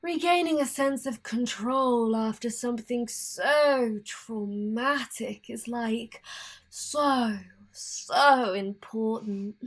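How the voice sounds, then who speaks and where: A woman's voice: a little high, slow. A woman playing a vain, melodramatic, flirtatious glamour queen with an affected, theatrical manner in a radio play. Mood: sugary, condescending. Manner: theatrical, affected, gasping and shrieking.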